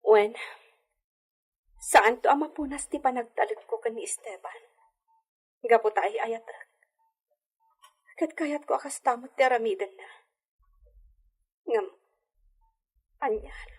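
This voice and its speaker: A sweet trusting young senorita, highish slightly husky voice, earnest, radio drama. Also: female voice, measured pace, pauses often. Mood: hurt, loyal. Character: gentle, trusting, devoted young lady of hacienda.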